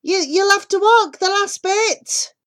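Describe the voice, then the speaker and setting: A woman doing a blunt taxi driver shouting. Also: very high-pitched, slow pace, voice pushed up, heavily acted. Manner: shouting over engine noise.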